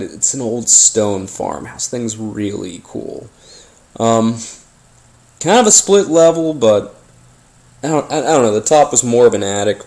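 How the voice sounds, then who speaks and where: A man telling a first-person story casually, as if being interviewed, in a halting, hesitant way with pauses and repeats. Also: fairly low pitch, creaky, a little mellow. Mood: nostalgic, reflective. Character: ordinary man recounting childhood brushes with a haunting.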